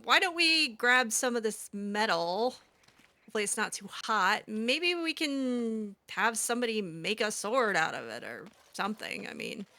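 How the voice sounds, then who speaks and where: A woman's voice: fairly high pitch, pushed and bright. A woman improvising in-character commentary on a game playthrough, theatrical, sarcastic and easily exasperated. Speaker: gamer roleplaying her character.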